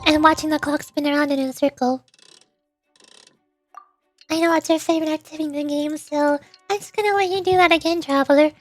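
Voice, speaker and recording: A woman's voice: high voice, fairly even intonation, fairly quick, long pauses, soft background music. A woman streaming a game, switching between playful commentary and reading dialogue aloud in character. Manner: reads dialogue aloud in character with joking asides. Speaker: VTuber streamer.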